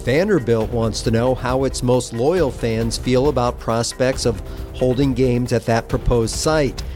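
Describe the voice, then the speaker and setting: A man reading a news segment on a radio show in a steady, newsreader delivery. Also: medium pitch, measured pace, faint music behind. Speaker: news reporter.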